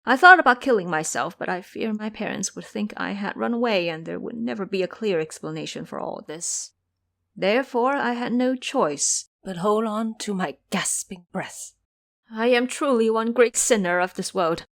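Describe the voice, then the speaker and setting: Lady doing a captive princess, proud but sad, dramatic audio drama acting, very expressive pitch. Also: normal speed, gravelly. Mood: sorrowful. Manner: earnest, formal, dramatic. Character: proud, sorrowful captive princess, a wife and mother.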